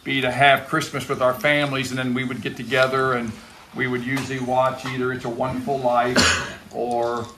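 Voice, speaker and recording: A man's voice: mid-range voice, level tone, moderate pace. A man hosting an informal church gathering in a barn, telling stories in a joking, folksy way. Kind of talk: informal storytelling to a congregation. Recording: echoey, noisy room with loud crowd. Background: crowd and noise.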